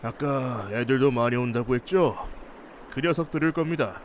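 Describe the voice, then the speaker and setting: A man's voice: medium pitch, moderate pace, slight background noise, close to an everyday voice. A man playing an easygoing, chatty night angler in a visual novel, with a folksy, teasing manner. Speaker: supporting character in a visual novel. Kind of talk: acted casual dialogue. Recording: faint night insect ambience behind voice.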